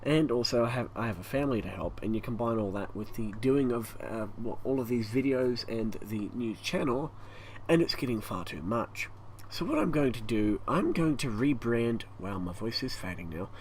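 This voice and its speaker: Man, Australian accent, hoarse croaky voice, breathy, rambling, middle pitch, faint fan hum. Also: lively intonation, moderate pace, low-quality recording. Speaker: YouTube gaming channel host. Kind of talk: casual channel update monologue. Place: hot home room with a fan running. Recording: faint fan noise behind.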